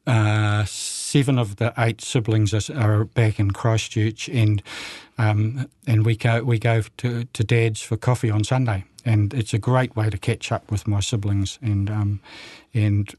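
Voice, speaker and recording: A man's voice: fairly low pitch, steady tone, a little slow, hardly any pauses, darkish tone, no room sound, clean studio sound. A man around seventy from New Zealand, a radio guest talking casually with many fillers and restarts.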